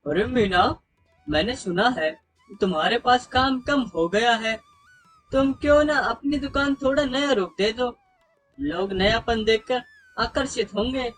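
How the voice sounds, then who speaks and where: A performer voicing a helpful, enthusiastic village neighbour auntie giving brisk advice in a moral story. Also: mid-range voice, fairly bright tone, faint music behind, voice pushed up. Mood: advising.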